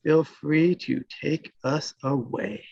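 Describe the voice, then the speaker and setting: A woman's voice: deep voice, steady tone, slow, creaky, mellow, breathy edge, muffled recording. A woman hosting and casually introducing the cast of a table read.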